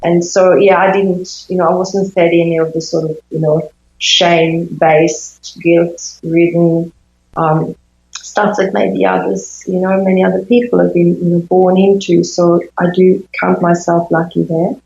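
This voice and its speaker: A woman in her fifties from Sweden, a native Swedish speaker, giving reflective interview answers with thoughtful pauses in a conversational style. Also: on the low side, flat delivery, darkish tone, reverberant, dull audio. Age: 50s. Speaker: interview guest, intimacy coach.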